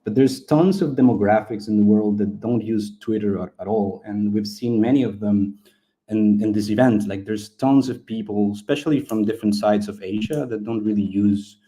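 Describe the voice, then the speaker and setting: A man's voice: lowish voice, normal speed, mellow. A man from Chile, a Spanish speaker, talking casually as an interview guest and often repeating words as he explains. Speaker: game studio founder and interview guest.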